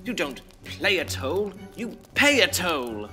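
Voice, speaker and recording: A man playing a plucky, excitable adventurer with theatrical exclamations in a children's audio adventure. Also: high voice, slow pace, over music, full character voice. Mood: puzzling. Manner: theatrical, exclamatory, talks to himself.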